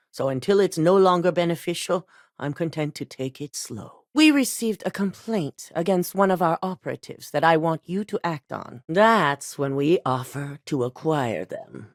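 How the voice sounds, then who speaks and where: A cool, commanding corporate CEO. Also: female voice, on the low side, dry recording, clean studio sound. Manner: measured, authoritative, coolly businesslike. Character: composed, commanding middle-aged female corporate CEO. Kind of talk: video game dialogue. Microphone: dry studio voice recording.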